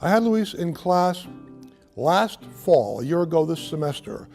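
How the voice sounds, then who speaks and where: Mellow, measured male theater teacher. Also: fairly high pitch, very animated, gravelly, soft background music. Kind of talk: interview.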